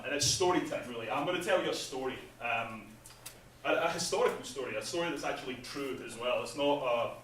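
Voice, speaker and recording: A man giving a chatty conference talk about his game, laughing at his own jokes and filling pauses with ums. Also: on the high side, fairly bright tone. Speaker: game developer presenting at an industry event. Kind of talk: casual conference presentation. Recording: echoey hall, speaker far from mic, faint noise.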